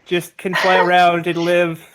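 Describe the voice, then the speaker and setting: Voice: mid-range voice, monotone, unhurried, a bit bright. A tabletop game player chatting casually and describing what their character does. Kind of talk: casual chat.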